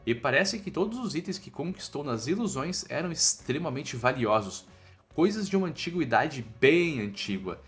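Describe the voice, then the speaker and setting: A man's voice: a little high, fairly bright tone. A man with a Brazilian accent narrating a scripted comic story recap in a playful, colloquial storytelling manner. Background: background music.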